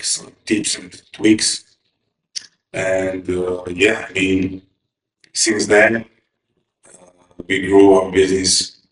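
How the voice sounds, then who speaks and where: A man giving an unscripted testimonial as a client, with halting, hesitant phrasing. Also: deep voice. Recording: roomy, echoing, distant microphone.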